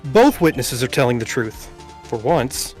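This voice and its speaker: A man's voice: medium pitch, very animated, unhurried, darkish tone, faint music behind, pitched below the performer's own voice. A man voicing an earnest, confident young defense lawyer with emphatic delivery, as in an amateur game dub.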